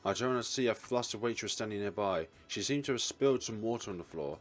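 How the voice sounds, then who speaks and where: A man's voice: lowish voice, animated, fast, faint music behind. A man reading a visual novel's narration aloud as a let's play narrator.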